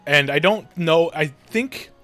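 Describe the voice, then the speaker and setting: A man livestreaming a video game, chatting casually in a halting, rambling way with many false starts. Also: fairly high pitch, sharp tone, soft background music.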